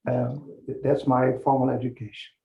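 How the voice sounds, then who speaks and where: A man's voice: medium pitch, level tone, normal speed, creaky, muffled recording. A man introducing himself as a student in an online seminar, hesitant with fillers. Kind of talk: self-introduction on a call.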